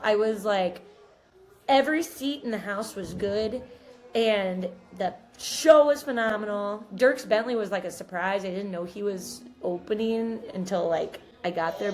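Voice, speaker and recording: An American woman in her thirties from Missouri co-hosting a podcast, chatting casually and telling stories in an informal, emphatic way. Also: mid-range voice. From Missouri, United States. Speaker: podcast co-host. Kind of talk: casual catch-up chat.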